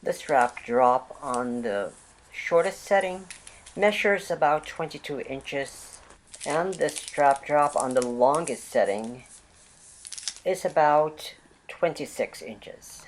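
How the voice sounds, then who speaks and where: A vlog host narrating a product unboxing with a voice left raspy by a lingering cold. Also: medium pitch, slow, a little hiss or hum, poor audio.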